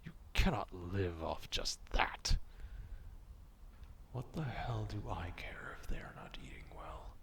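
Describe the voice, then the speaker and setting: A man performs a proud, gruff male werewolf in an ASMR roleplay. The voice is very low and gravelly, often sinking toward a whisper. The beast speaks in a stern, curt grumble, distrustful yet grudgingly caring. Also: expressive, slow, fairly bright tone, full character voice. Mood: curt, irritated. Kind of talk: slow ASMR roleplay acting.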